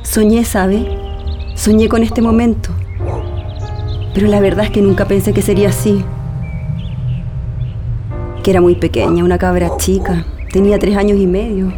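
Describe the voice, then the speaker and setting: A woman playing an earnest, grieving daughter in a radio drama, with a Chilean accent and a halting, emotional delivery. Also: mid-range voice, little pitch movement, natural voice. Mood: wistful, grieving. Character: grieving daughter Mónica, earnest, wistful, pleading adult woman. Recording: radio play with music underneath.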